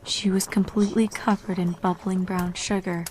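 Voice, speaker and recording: A woman with a medium-pitched voice narrates a grim fantasy tale. She reads from a script in a dramatic storytelling style suited to horror. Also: flat delivery, normal speed, a little mellow, slight background noise. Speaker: fan fiction narrator.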